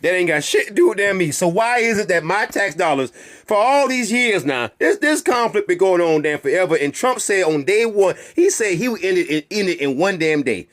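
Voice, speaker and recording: A man's voice: high-pitched, lively intonation, normal speed, a bit bright. A man hosting his own channel, delivering a heated, profane rant to viewers with a string of rhetorical questions and repeated phrases. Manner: heated and emphatic. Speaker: channel host. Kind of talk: ranting monologue to viewers.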